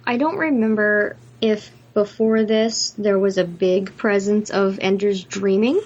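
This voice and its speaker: A woman's voice: mid-range voice, fairly even intonation, a little slow, a little mellow, rough recording. A woman co-hosting a podcast, discussing a film casually and with enthusiasm. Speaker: podcast co-host.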